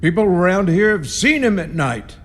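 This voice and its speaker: A man's voice: high voice, big pitch swings, moderate pace. A man playing an ominous rural caretaker in a radio horror play, giving grim, cryptic warnings in folksy country grammar. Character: ominous rustic caretaker, grimly warning intruders. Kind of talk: radio horror drama acting. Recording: long reverb with music behind.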